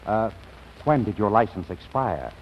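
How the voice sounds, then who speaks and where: A man's voice: fairly low pitch, sing-song, measured pace, slightly rough, big-room sound, heavily acted. An actor playing a suave, dryly sarcastic businessman husband in an old radio comedy, with wry, polished phrasing. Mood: intrigued. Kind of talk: 1940s radio comedy acting. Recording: dull, lo-fi old radio recording.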